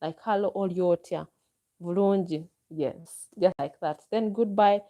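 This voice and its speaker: A woman's voice: lowish voice, a little slow, dull audio. A Ugandan woman vlogger chatting casually and enthusiastically as she answers viewer comments, with frequent exclamations. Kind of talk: casual live chat.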